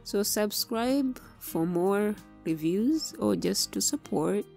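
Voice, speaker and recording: A woman's voice: medium pitch, expressive, slow, vocal fry, darkish tone, faint music behind. A young woman with a Tanzanian accent reviewing a kitchen gadget step by step in a casual vlog. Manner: plain, step-by-step instructional. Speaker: product reviewer and Swahili teacher.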